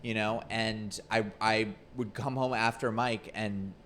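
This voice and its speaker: A man around thirty, a guest comedian in casual podcast chat, talking in a measured, reflective way. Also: fairly low pitch, steady tone, a bit bright, slight background noise. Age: 30s.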